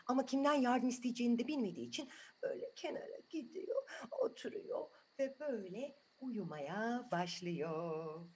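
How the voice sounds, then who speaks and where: A woman's voice: on the high side, normal speed, darkish tone, slight background noise. A woman telling a story to children in an improvised, chatty way, asking the listeners questions as she goes.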